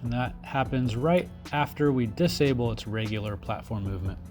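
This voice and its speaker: A man presents a software tutorial in an informal way, explaining each step while he works through it. His voice sits at a slightly high pitch for a man, and there is faint music behind him. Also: moderate pace. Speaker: tutorial instructor.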